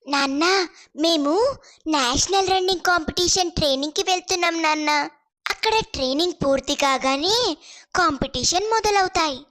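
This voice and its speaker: A woman's voice: sits high, normal speed, fairly bright tone. A woman voicing an earnest, polite young woman runner in a cartoon storybook. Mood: earnest, happy.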